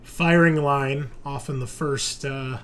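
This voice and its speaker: A man's voice: mid-range voice, steady tone, takes it slowly, fairly bright tone. A man running a tabletop roleplaying game as game master, talking informally and explaining rules. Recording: faint music behind.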